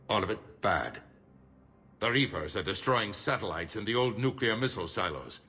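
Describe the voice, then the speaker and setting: Shrewd, defiant middle-aged statesman for a sci-fi game; forceful, sharp, oratorical delivery over background ambience. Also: low voice, slight background noise, heavily acted. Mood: grim. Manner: measured, oratorical, emphatic. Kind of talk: cinematic game dialogue.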